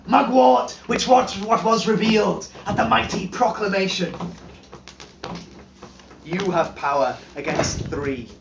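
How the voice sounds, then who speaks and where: Storyteller, dramatic incantation, very slow delivery, theatrical dynamics from hush to cry, echoey distant recording. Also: mid-range voice, background noise. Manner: theatrical, swinging from hushed whispers to loud cries. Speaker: storyteller performing a charm. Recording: echoey, dull distant live recording, loud drumming. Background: loud drumming.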